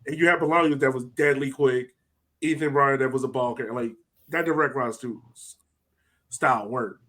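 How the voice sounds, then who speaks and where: A man of middling pitch chats about his soccer team on a podcast. He talks loosely, hedging and reaching for words as he goes. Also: moderate pace, sharp tone. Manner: hesitant, fills speech with "like". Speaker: podcast co-host. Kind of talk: casual soccer chat.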